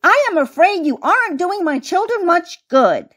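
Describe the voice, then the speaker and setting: A woman voicing a prim lady mouse schoolteacher with a squeaky voice, politely scolding in a children's storybook. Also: big pitch swings, normal speed, a bit bright, exaggerated voice. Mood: disappointed. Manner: polite, reproachful.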